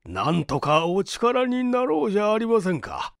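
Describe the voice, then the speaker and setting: A man voicing a well-off older gentleman, blustering but kindhearted, in an emphatic, old-fashioned manner for a story reading. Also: sits high, wildly swooping pitch, moderate pace, vocal fry, dry recording, studio quality, pitched above the performer's own voice, full character voice. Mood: concerned.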